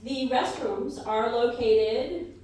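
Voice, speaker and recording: A woman's voice: on the high side, slow pace, a little mellow. A woman performing scripted comedy banter to a live audience, announcing in a mock-official way. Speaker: comedy radio show performer and co-host. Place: live stage in a hall. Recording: very echoey hall, distant mic, faint noise.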